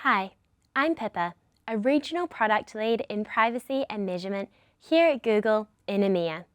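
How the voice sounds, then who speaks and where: A woman's voice: medium pitch, animated, normal speed, soft background music. A woman with a New Zealand accent giving a scripted business training presentation as a product lead.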